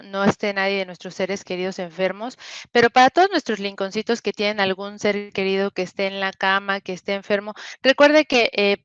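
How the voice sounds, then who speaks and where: A woman hosts a casual web show, chatting in a friendly, upbeat way with plenty of fillers. Her voice is smooth and resonant. The sound is dry and slightly dull, like a low-grade mic. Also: fairly bright tone, no echo. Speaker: show host. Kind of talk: casual online talk show.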